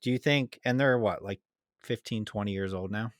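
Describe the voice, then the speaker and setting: Man, podcast co-host, slightly low voice, dry close sound, easygoing casual debate. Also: normal speed, no echo.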